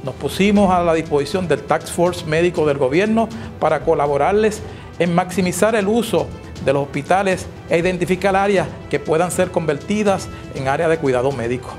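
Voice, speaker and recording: A middle-aged man with a Puerto Rican accent delivering a scripted, formal address in a measured manner. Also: fairly high pitch, darkish tone. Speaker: president of an engineers' association. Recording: music playing behind the voice.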